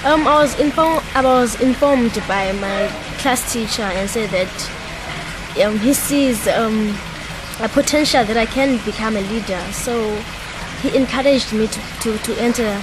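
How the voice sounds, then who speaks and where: A woman's voice: fairly high pitch, a little slow. An eighteen-year-old woman from Cape Town with a South African accent, speaking shyly and hesitantly in an interview. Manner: shy, soft-spoken, hesitant. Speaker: youth camp participant. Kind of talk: on-camera interview answers. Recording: background noise.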